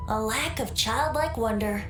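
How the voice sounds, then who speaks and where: A woman voicing a small, childlike fairy companion in a video game, chirpy and excitable. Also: fairly high pitch, unhurried, close to an everyday voice. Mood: musing, curious. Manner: refers to self in third person. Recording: roomy sound with game music behind.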